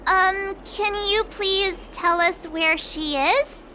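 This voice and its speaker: A woman voicing a spirited, assertive young girl adventurer in a video game, sassy and expressive. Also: very high voice, takes it slowly, a little hiss or hum, exaggerated voice. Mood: indignant. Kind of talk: video game character dialogue.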